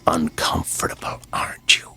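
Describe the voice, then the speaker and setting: An actor playing a coolly vengeful man in an audio drama, who taunts his victim with slow, mock-clinical questions over music. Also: pushed and bright, whispered. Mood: quiet menace. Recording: music behind the voice.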